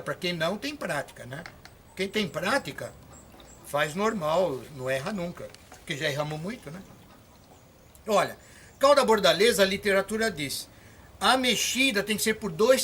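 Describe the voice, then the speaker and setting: A man's voice: high voice, a bit bright, slight background noise. An older man from Brazil, demonstrating how to mix a farm spray in a folksy, encouraging way that speaks straight to the viewer. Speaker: orchard farmer teaching. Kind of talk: hands-on demonstration.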